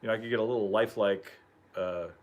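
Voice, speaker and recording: A man hosting a casual livestream chat, rambling and chuckling, clearing his throat as he loses his voice. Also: lowish voice, fairly even intonation, quick pace. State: losing his voice. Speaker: livestream host.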